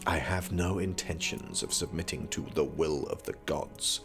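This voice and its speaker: A man's voice: a bass voice, normal speed. A man acting in an audio drama as an erudite, teasing young prince who studies magic, speaking in a dry, formal, pedantic way. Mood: didactic. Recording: music behind the voice.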